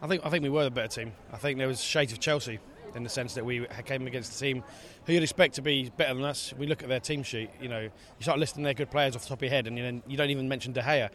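A man's voice: mid-range voice, very fast, few pauses, fairly bright tone. A man co-hosting a casual football podcast outdoors, rambling and repeating himself. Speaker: podcast co-host. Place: outdoors by a stadium.

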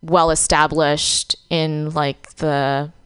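A woman's voice: deep voice, little pitch movement, very slow, fairly bright tone, clean tone. A woman in her thirties from Vietnam, a comedian chatting casually on a podcast, laughing often and filling with "like" and "um". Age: 30s. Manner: chatty, laughing, heavy filler words. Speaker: comedian guest.

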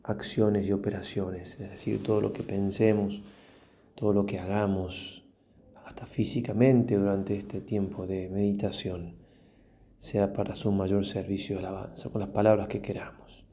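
A man, a priest leading a guided meditation and prayer in a slow, reverent manner with long pauses. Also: lowish voice, flat delivery, warm tone.

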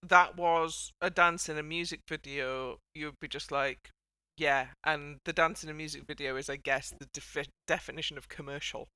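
Woman hosting a casual chat, clear bright voice, bit wry and halting. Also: deep voice, fairly even intonation, no room sound. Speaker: podcast host. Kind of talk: casual discussion of a TV dance show.

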